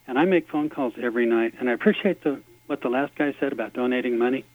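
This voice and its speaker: A man calling a radio show as a campaign volunteer, earnest and halting with frequent fillers. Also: mid-range voice, no echo. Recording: tinny phone line.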